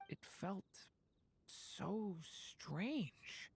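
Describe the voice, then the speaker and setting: A gentle young dragon boy from a video game, soft and youthful. He sounds troubled, soft-hearted and quick to apologize. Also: mid-range voice, animated, gravelly, slight background noise, full character voice. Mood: troubled, bewildered. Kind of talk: game voice acting.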